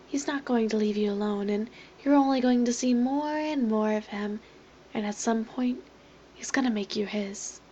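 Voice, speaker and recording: A slightly lo-fi recording of a woman playing an ominous, coldly courteous villainess in a horror audio drama. Her voice is on the high side, and she stays formal, composed and apologetic in her menace. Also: moderate pace, mellow, slight background noise, close to an everyday voice. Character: cold, ominous, politely apologetic woman with fatal purpose. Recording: slightly dull, lo-fi microphone.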